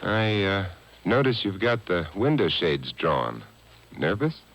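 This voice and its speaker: Dry suave 1950s radio sleuth, deep voice, wry, muffled old recording. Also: male voice, big pitch swings, slow pace, a bit bright, heavily acted. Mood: sardonic, cool. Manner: dry, urbane, sarcastic. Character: suave, sardonic male amateur sleuth. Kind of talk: 1950s radio detective drama acting. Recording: dull old radio broadcast on cassette.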